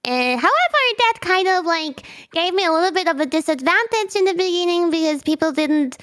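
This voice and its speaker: A woman's voice: very high voice, lively intonation, fairly quick, fairly bright tone. A woman whose first language is German, a streamer guest chatting casually on a video call, bubbly and giggly, often restarting her sentences. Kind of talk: casual interview chat.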